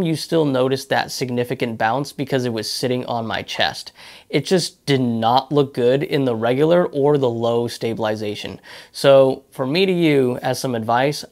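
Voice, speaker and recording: Gravelly-voiced man reviewing a camera. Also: mid-range voice. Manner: conversational, gives direct advice to viewers. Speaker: tech product reviewer. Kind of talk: casual spoken gear review. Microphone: lavalier mic.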